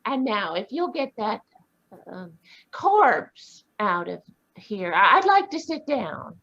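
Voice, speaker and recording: Woman playing a feisty spinster, very lively pitch, slow, husky, muffled lo-fi sound. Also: exaggerated voice. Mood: indignant. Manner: indignant, spirited, quick retorts. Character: brisk, independent spinster woman, sharp-tongued. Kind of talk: live radio drama reading. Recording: dull lo-fi video call audio.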